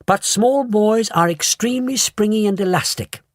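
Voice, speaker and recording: A man voicing an eccentric, excitable chocolate maker with brisk, theatrical exclamations. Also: sits high, no room sound, clean studio sound, pitched above the performer's own voice, natural voice. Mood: excited.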